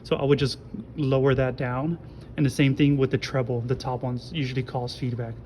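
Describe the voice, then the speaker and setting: A man's voice: mid-range voice, brisk. A young man giving a casual hands-on tutorial in an informal, reassuring, plain-spoken way, recorded on a phone in a large indoor hall. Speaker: instructor. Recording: steady background noise, room ambience.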